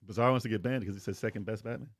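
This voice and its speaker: A man co-hosting a video game podcast, chatting casually and quickly while searching for words. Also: on the low side, no room sound, clean studio sound. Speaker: podcast co-host. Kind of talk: casual chat.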